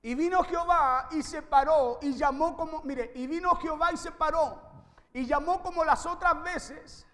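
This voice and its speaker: A male preacher delivers an impassioned sermon in a very high, raised voice. He exhorts his listeners directly, hammering phrases home through repetition. Long reverb from the hall trails his words. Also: normal speed, a bit bright. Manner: exhorting, repeats phrases for emphasis. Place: large reverberant room, preaching to a congregation.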